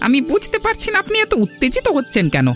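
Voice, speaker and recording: A swooping, quick-fire cartoon villager played by a man, outspoken and argumentative. He makes every point emphatically. Faint music plays behind him. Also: very high-pitched, wildly swooping pitch, exaggerated voice. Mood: defiant. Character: outspoken village man defending a wronged young widow. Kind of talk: cartoon voice acting.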